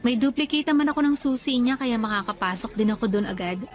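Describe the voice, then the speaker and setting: Earnest young Filipina girlfriend, higher voice actress. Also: lively intonation, fast, lightly acted. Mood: casual, resigned. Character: young working woman, troubled girlfriend confiding in friend. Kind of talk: Filipino radio drama acting.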